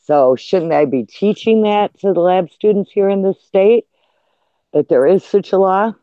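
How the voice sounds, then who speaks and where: A man talking casually and a little haltingly as a panelist in a live discussion. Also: high-pitched, no echo. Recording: muffled, lo-fi call audio.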